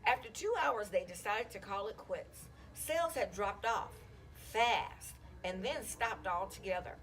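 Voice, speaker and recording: Woman reading a children's story with lively intonation. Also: mid-range voice, a little slow, faint music behind. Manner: animated, acting out the children's dialogue. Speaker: school counselor reading a children's book aloud. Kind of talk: expressive storybook read-aloud.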